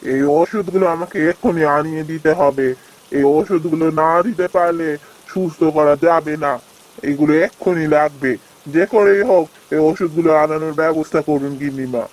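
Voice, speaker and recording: Man acting as an earnest, higher-pitched village physician. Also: brisk, darkish tone, close to an everyday voice, rough recording. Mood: urgent. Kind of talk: Bengali fairy-tale cartoon acting.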